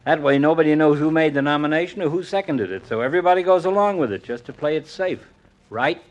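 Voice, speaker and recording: A man's voice: on the high side, quick pace, close to an everyday voice. A man playing a sarcastic, needling doctor in a 1950s radio comedy, on a vintage broadcast recording. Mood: mocking, amused. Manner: mocking, wisecracking. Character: sarcastic needling doctor, old friendly rival.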